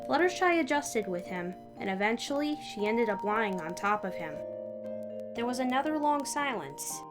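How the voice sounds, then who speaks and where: A woman's voice: a little high, big pitch swings, normal speed. Woman narrator with music behind. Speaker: narrator and channel host. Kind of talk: story narration and casual outro chat.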